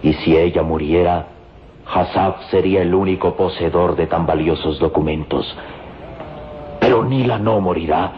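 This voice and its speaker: A man's voice: low voice, a little hiss or hum, close to an everyday voice. An actor playing a solemn, devoted Egyptian man in an old radio serial, speaking reverently and declaiming in style. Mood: earnest. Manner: slow, declamatory, reverent delivery. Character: solemn, devoted Egyptian man in love, loyal to his people. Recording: dull old radio recording with music. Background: prominent dramatic music.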